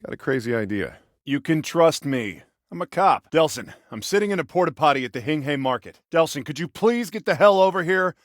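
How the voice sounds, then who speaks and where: An actor playing an exasperated, protective older-brother cop in a video game, with an American accent and a sarcastic, bantering manner. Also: male voice, a little high, lively intonation, brisk, no room sound, clean studio sound, lightly acted. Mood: wry banter. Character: exasperated, protective big-brother cop, sardonic young man. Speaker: video game character, police officer. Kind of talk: naturalistic video game voice acting.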